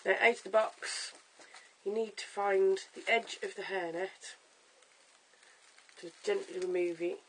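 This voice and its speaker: A hoarse, croaky voice that crackles into gravelly fry, talking through a home wig tutorial. The delivery is chatty and stop-start, full of ums and small restarts, picked up by a camera's built-in mic with a faint hiss behind it. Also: medium pitch, fairly even intonation, a little slow, fairly bright tone. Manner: chatty, stop-start with fillers and self-corrections. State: hoarse, lost voice. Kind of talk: casual how-to demonstration.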